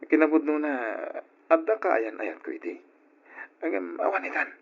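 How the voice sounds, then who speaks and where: Swooping-voiced Ilocano philanderer, radio drama. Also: male voice, a little high, very animated, normal speed, creaky, husky, exaggerated voice. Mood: flirtatious, coaxing. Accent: Filipino (Ilocano). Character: smooth-talking philandering man, flirtatious, callous when challenged. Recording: dull old radio drama recording.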